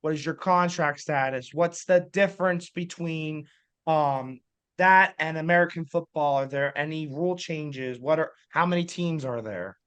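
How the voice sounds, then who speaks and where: A man's voice: a little high, normal speed, fairly bright tone, clear, resonant tone. A man hosting a podcast interview, asking eager, loose run-on questions. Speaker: podcast host.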